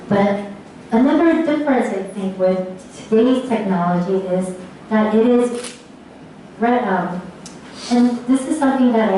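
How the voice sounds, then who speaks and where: A woman speaking as an academic, answering audience questions after a lecture in a hesitant, thoughtful, measured way. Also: medium pitch, darkish tone. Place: large echoing lecture room, distant mic. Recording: echoey hall from far off, faint noise.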